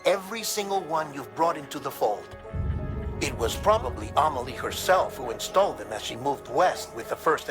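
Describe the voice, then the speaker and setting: A man acting an earnest, troubled game investigator, reporting his findings in a grave, deliberate voice. His pitch sits in the middle range for a man. Clearly audible music plays behind him. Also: natural voice. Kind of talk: video game voice acting, briefing monologue.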